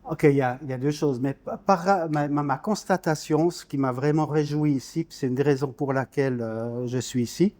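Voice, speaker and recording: A man's voice: a little high, brisk, few pauses, darkish tone. A man in his sixties from Switzerland, an interview guest talking informally, searching for words and repeating small ones as he goes. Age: 60s. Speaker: guest, painter.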